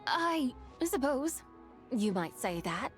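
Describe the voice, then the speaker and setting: A woman's voice: sits high, sing-song, drawn-out words, soft background music, heavily acted. A woman voicing an ageless, free-roaming female immortal in a video game, with lofty, archaic, teasing phrasing. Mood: taken aback. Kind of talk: video game character dialogue.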